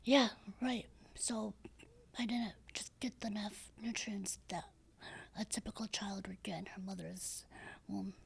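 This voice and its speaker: A woman in her thirties with a low, raspy, breathy voice, speaking softly and hesitantly as a podcast guest, with many fillers and restarts. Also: steady tone, a little slow. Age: 30s. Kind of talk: casual interview talk.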